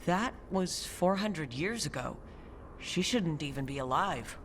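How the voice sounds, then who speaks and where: A dry, composed heroine in a fantasy game, straight to the point, slight background noise. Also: female voice, deep voice, lively intonation, unhurried. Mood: concerned, direct. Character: composed, wry hero of a fantasy adventure. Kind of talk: video game voice acting.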